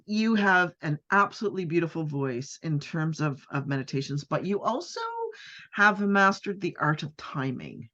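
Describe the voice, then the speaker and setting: A middle-aged woman hosting a podcast in casual, animated chat, often repeating words and restarting her sentences. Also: on the low side, highs cut off. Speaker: podcast host.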